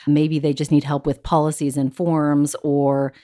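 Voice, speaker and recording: Woman podcast host, upbeat, encouraging, slightly low voice, dry close sound. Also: flat delivery, unhurried, warm tone, no echo. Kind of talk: friendly interview chat.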